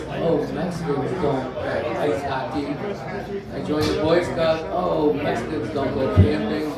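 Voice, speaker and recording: A man from New Jersey telling stand-up stories on stage, dry and self-deprecating, with hesitant pauses. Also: on the high side. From New Jersey, USA. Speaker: stand-up comedian. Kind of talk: stand-up comedy set. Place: comedy club stage. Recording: echoey club heard from a distance, loud audience laughter. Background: loud crowd laughing.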